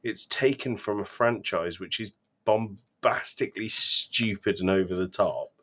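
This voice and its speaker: A man with a fairly low voice talks as a podcast co-host. His delivery is casual chat, emphatic and full of opinion. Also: measured pace, a bit bright.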